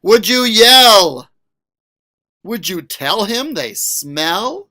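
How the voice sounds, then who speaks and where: Animated, slow-paced man reading to children. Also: high voice. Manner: expressive, questioning, stretches out words for children. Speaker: school librarian reading a picture book. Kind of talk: animated read-aloud of a children's picture book.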